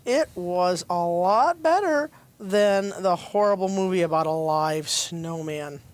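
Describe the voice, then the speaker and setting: A woman's voice: lowish voice, takes it slowly. A woman with an opinionated, plain-spoken way of talking, reading conversationally in an audio play.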